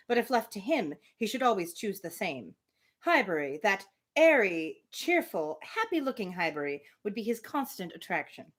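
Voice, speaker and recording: Female livestream book reader, mid-pitched, expressive, with a very animated range. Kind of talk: live read-aloud of a classic novel with casual asides.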